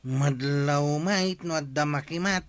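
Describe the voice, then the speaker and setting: Village watchman, male actor, slightly high voice, Ilocano accent, earnest and easygoing radio-drama delivery. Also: a little slow, dry recording, close to an everyday voice.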